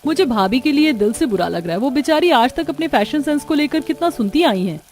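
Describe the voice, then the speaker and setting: Woman voicing an outspoken high-pitched young cartoon sister-in-law. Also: lively intonation, brisk, darkish tone. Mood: sympathetic. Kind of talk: Hindi cartoon voice acting.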